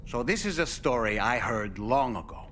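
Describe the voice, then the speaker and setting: An actor playing a solemn storyteller who tells an eerie sea tale in a measured, deliberate way with suspenseful pauses. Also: male voice, mid-range voice, expressive, a bit bright, slight background noise, natural voice. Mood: solemn, hushed storytelling. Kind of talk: dramatic scripted storytelling.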